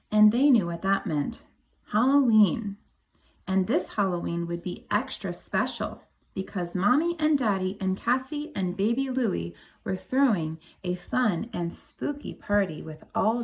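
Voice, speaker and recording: Female kindergarten teacher for storybook read-aloud: slow delivery, plenty of vocal fry, clear explanatory asides for small children. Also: medium pitch, rough recording. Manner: simple explanatory asides, spells out words for children. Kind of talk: animated picture-book read-aloud with asides.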